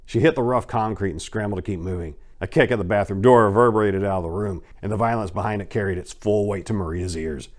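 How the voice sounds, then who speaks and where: A man with a fairly low voice narrates crime fiction as a dramatic reading. His storytelling is intense and expressive, following a written script. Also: fast talker, hardly any pauses.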